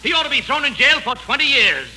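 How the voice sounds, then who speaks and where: An actor playing a pompous, outraged defense attorney in a 1940s radio comedy, indignant and declamatory. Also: male voice, very high-pitched, big pitch swings, fast. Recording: noisy 1940s radio broadcast recording.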